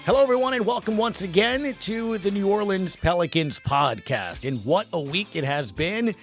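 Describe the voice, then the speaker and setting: A man with an American accent presents a sports podcast. His voice sits on the high side and his delivery is upbeat and scripted, with faint music underneath. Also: lively intonation, moderate pace. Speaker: podcast host.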